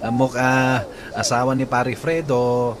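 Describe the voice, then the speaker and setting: A man's voice: medium pitch, steady tone, takes it slowly, lightly acted. A man playing a teasing husband with an eye for women in a radio comedy drama, with an Ilocano accent. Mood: playful teasing. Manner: playful, teasing. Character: teasing, girl-ogling husband selling barbecue. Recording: loud noise, birds and vehicles behind.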